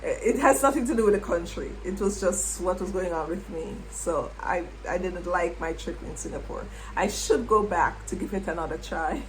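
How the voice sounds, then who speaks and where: Lower-voiced woman reminiscing slowly. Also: slight background noise, rough recording. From Jamaica. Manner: unhurried, repeats words as she thinks. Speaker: former English teacher. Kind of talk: reflective personal storytelling.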